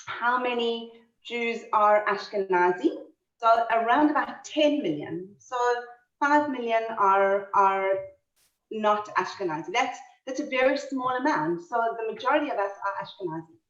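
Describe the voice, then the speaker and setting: A woman's voice: a little high, animated, moderate pace, fairly bright tone. A woman with a South African accent giving an online history talk from home, chatty and full of fillers and restarts. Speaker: lecturer on history. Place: home, video call. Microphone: computer mic on a video call. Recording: roomy, distant, dull video-call audio.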